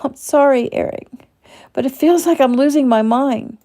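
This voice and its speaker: A woman voicing a traumatized young woman who shouts in rage and breaks toward tears. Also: fairly high pitch, moderate pace, creaky, pitched above the performer's own voice. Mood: sobbing.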